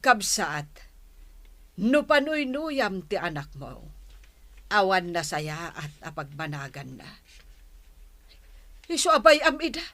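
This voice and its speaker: A sharp, forceful voice from a woman acting a middle-aged mother in an audio drama. She is blunt, scornful and fed up, speaking slowly with animated swings in pitch. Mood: bitter, dismissive. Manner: blunt, exasperated. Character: fed-up, scornful mother washing her hands of her son. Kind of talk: Ilocano radio-style audio drama acting.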